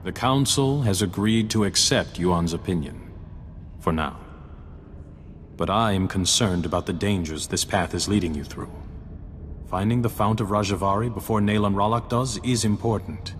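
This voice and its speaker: A man playing a grave, cautious Jedi council master in a video game, speaking solemnly and deliberately. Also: close to an everyday voice. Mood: grave concern. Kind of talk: video game dialogue acting. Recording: reverberant hall, faint rumbling noise.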